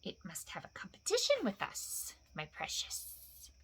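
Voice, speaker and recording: A woman's voice: lowish voice, huge pitch swings, unhurried, fairly bright tone, husky, exaggerated voice. A woman voicing a wretched, sneaky old cave creature who hisses and whispers in broken grammar. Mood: scheming. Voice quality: hissing, whispery. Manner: broken grammar, repeats "my precious".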